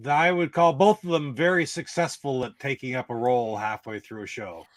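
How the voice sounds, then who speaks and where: Mid-pitched man, dry close sound. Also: fairly even intonation, moderate pace, no echo. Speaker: podcast panelist. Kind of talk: casual group chat.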